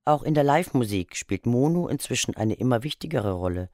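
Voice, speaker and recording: A man's voice: mid-range voice, lively intonation, fairly quick, a little mellow, no room sound, studio quality. A man reading scripted narration for a radio documentary. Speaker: feature narrator. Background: guitar music.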